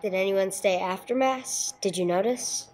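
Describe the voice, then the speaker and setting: A woman's voice: medium pitch, moderate pace, darkish tone, close to an everyday voice. A woman playing a no-nonsense police sergeant who narrates a case in a radio play, clipped and matter-of-fact. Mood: businesslike, probing. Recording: clear background noise, room ambience.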